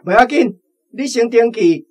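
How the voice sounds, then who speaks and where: Taiwanese company manager, high voice, swooping intonation, salesman patter, radio play. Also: expressive, moderate pace. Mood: salesmanlike. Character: brisk bird-company manager selling chicks. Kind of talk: radio drama acting.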